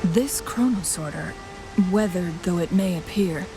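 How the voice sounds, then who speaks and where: An actress playing a composed, scholarly young woman in a video game, speaking in a measured, formal, articulate way. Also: on the low side. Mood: pensive, questioning. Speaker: game character voice. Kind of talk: scripted video game dialogue. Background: game music.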